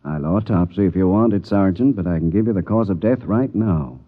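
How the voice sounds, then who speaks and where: A man's voice: deep voice, fairly quick. An actor playing an offhand male police doctor in a vintage radio drama, dismissive and matter-of-fact. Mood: jaded, dismissive. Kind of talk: 1950s radio drama acting. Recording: tinny, narrow old radio recording.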